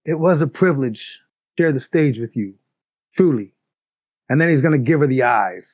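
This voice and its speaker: A man's voice: mid-range voice, lively intonation, normal speed, no room sound. A man playing a tabletop game, playfully narrating and role-playing his character, on a slightly dull recording.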